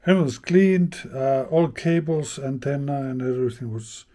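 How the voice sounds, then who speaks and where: A man casually narrating a hands-on repair as he goes, thinking aloud in a halting way. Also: medium pitch, mellow. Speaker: host of a vintage radio repair channel.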